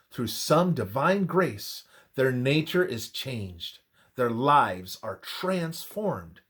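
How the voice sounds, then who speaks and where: Male narrator for devotional script: unhurried and deliberate, highly varied intonation, emphatic pauses. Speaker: reader of a religious devotional text.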